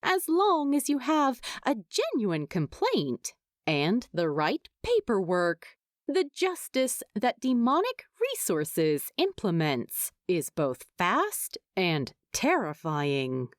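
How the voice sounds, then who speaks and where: A woman reading a story aloud as an expressive, wry narrator. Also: mid-range voice, slow, no echo, clean studio sound. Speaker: fan fiction narrator.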